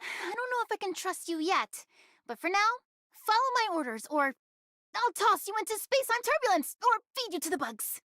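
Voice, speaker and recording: A woman's voice: extremely high pitch, big pitch swings, normal speed, bright, forceful tone, dry recording, studio quality, full character voice. A woman voicing a bossy, sly young woman in an anime game, commanding and teasing in manner. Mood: serious.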